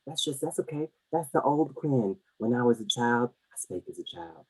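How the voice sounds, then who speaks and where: Over-eager manager, mid-pitched, gushing and rambling, very dry recording, comedy web series. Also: male voice, animated, moderate pace, darkish tone, breathy edge, no echo, poor audio. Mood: earnest, rambling. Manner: effusive, rambling, repeats himself, stumbles over words.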